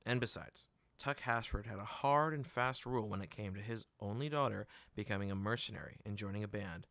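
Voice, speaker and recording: A man reading a novel aloud in an expressive storytelling manner, close to the microphone. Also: lowish voice, brisk, no echo. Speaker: audiobook reader. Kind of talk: scripted fiction narration. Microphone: close microphone, dry room.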